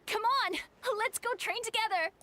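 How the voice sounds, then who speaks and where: A woman's voice: very high voice, moderate pace, pushed and bright. An actress voicing an eager young girl trainee in a video game. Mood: excited, eager.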